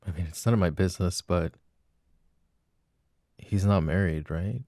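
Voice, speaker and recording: A man's voice: deep voice, unhurried, warm tone, no room sound. A man playing a loving but jealous boyfriend in an intimate, hesitant roleplay ASMR performance on a close microphone. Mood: worried, insecure. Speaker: voice actor.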